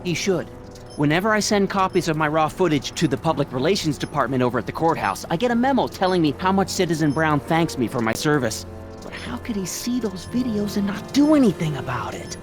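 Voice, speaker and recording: A man's voice: a little high, very animated, brisk, over music. An actor playing a meek, middle-aged American small-town father in a video game, earnest, folksy and prim. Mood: earnest, troubled. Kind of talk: animated video game dialogue acting.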